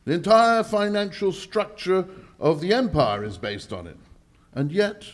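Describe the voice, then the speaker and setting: A man performs a pompous aristocratic bank governor in an old-style radio drama. The voice is raised a little and swings widely. Each emphatic, anxious phrase comes slowly and melodramatically. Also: high-pitched, big pitch swings. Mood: grave, baffled. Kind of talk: 1940s radio mystery acting, performed live.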